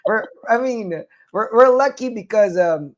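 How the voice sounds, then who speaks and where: A man's voice: high-pitched, animated, unhurried. A man with a Filipino accent, a nurse practitioner co-hosting a talk show, giving earnest, encouraging advice with many fillers and restarts. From Philippines.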